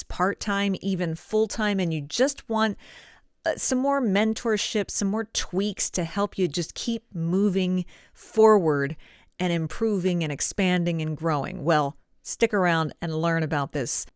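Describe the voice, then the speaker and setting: A woman hosting an instructional video as a voice coach, conversational, encouraging and clearly articulated. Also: medium pitch, no room sound, clean studio sound. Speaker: voice actor and coach, video host. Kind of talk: friendly instructional pitch to camera.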